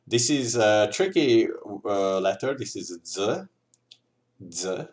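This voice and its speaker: A man's voice: on the low side, sing-song, slow pace, a little mellow. A man with a Hungarian accent, a native Hungarian speaker, teaching an informal language lesson in a hesitant, explanatory way with repeated examples. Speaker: language tutor.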